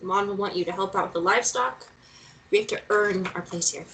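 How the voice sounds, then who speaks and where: Give the part of a wry, plain-spoken journalist acted by a woman at a script table read. She is inquisitive and speaks at a middling pitch. Some noise sits behind her voice. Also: brisk, a bit bright, recorded from a distance, lightly acted, low-quality recording. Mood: matter-of-fact. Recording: clear background noise.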